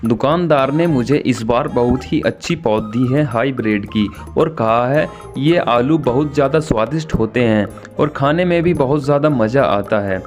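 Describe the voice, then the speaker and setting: A man's voice: mid-range voice, normal speed, hardly any pauses, darkish tone, close to an everyday voice. Man acting good-natured cartoon brother bird, music behind. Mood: pleased. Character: good-natured, grateful male bird, big brother farmer. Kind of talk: animated children's story dialogue.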